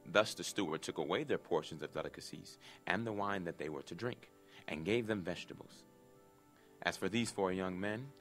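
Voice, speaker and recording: Low-voiced man narrating solemnly over music. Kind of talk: dramatic scripted Bible narration. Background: background music.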